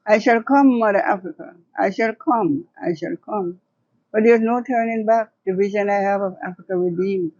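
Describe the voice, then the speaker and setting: A woman's voice: medium pitch, moderate pace, darkish tone, dry recording. An older woman, speaking as a guest and poet in dull, lo-fi audio. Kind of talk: reminiscing in conversation and reciting own poetry.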